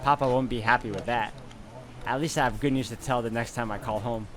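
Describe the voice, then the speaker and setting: A man's voice: mid-range voice, fairly even intonation, brisk, fairly bright tone. A man hosting a gaming video, reading visual novel narration aloud.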